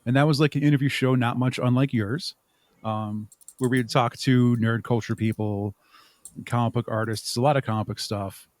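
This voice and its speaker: A man's voice: medium pitch, fairly even intonation, normal speed, no echo. Man chatting casually, close dry sound. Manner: rambling, chuckles while explaining. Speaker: podcast guest and audio drama creator. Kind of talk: casual interview chat.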